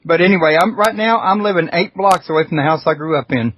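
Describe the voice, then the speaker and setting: An easygoing man talks loosely and jokes as he hosts a radio talk show. His voice is slightly high for a man and comes through muffled, lo-fi audio. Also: fast. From Houston, Texas. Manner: easygoing, joking.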